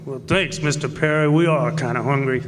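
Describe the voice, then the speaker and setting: A man acting a rough, suspicious outlaw with a gravelly voice in a western radio drama. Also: medium pitch, moderate pace, a little hiss or hum, lightly acted. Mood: wary. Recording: reverberant hall.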